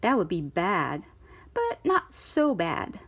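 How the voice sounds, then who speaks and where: A woman reading a children's story aloud in an expressive, theatrical way, with emphatic stretched words. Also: fairly low pitch, slow, slight background noise. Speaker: storytime reader of children's books.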